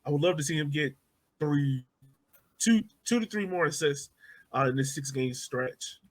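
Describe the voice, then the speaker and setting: A man's voice: fairly high pitch, lively intonation, normal speed. A man hosting a casual sports podcast, talking conversationally and putting questions to his co-hosts. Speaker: podcast host.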